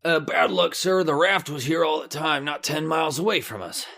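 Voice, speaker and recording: A man's voice: on the high side, normal speed, vocal fry, bright, forceful tone. A man playing a dutiful, uneasy sailor who reports hesitantly and deferentially in a radio horror play. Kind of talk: old-time radio horror acting.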